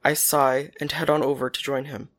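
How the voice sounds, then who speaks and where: A man narrating a first-person story in a quiet, even storytelling manner. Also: medium pitch, normal speed.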